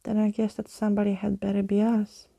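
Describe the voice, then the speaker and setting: A kind, imaginative storybook girl, read by a woman. She sounds soft and husky with a mellow tone, speaking earnestly and tenderly to encourage her friends. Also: mid-range voice, monotone, fairly quick. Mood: determined.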